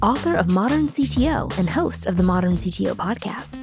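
A woman's voice: medium pitch, lively intonation, fairly quick, music in the background. A woman podcast host interviewing a guest in an engaged, conversational way. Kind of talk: conversational interview with scripted intro.

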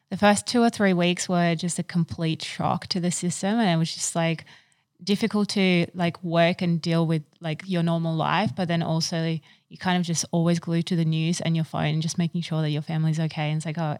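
A woman's voice: fairly low pitch, flat delivery, a little fast, no echo, clean studio sound. A woman in her thirties from Ukraine, a business owner answering interview questions in a chatty way with many fillers and restarts.

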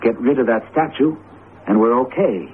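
An actor in a radio drama playing an earnest, determined teacher with deliberate, theatrical phrasing. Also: male voice, mid-range voice, expressive, close to an everyday voice. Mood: grimly determined. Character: jumpy, determined male teacher confronting a cursed statue. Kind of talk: vintage radio drama acting. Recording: tinny, narrowband old radio broadcast, noisy.

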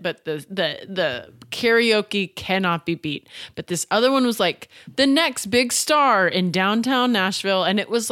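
A woman's voice: on the low side, fairly bright tone, clean tone, no echo, clean studio sound. A woman co-hosting a casual podcast, telling long rambling anecdotes. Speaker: co-host.

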